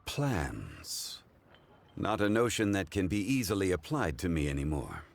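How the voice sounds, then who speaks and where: A man playing a refined, leisurely old-souled gentleman in a video game, speaking in a formal, measured, contemplative way. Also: low-pitched, very animated, vocal fry, slight background noise, exaggerated voice. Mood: composed. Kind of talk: English video game voice acting. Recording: music behind the voice.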